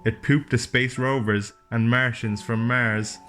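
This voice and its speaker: A man's voice: mid-range voice, fairly even intonation, measured pace, fairly bright tone. A man reading a rhyming storybook aloud as a father would to his child, with playful, chatty asides. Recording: music playing behind the voice. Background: plucked guitar music.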